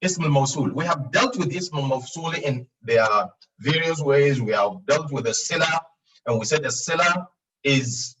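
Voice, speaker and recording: A man's voice: a little high, animated, normal speed, fairly bright tone, far from the mic, low-quality recording. A man teaching Arabic grammar in an online class, explaining patiently with fillers and repeats, sometimes acting out students' questions. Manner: explains patiently with frequent repeats and fillers, laughs at own jokes; acts out students' questions in a raised voice.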